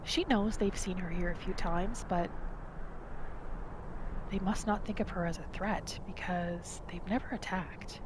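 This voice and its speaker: A woman narrating a hunter's day in a calm, soft third-person voice, sometimes dropping into a whisper, as an ASMR-style gameplay roleplay. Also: lowish voice, measured pace, noisy background. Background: loud game music.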